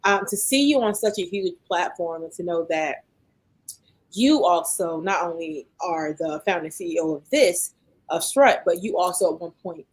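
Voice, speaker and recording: Woman podcast host asking slow questions. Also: lowish voice, slightly rough. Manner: measured, drawn-out questions with fillers. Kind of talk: podcast interview.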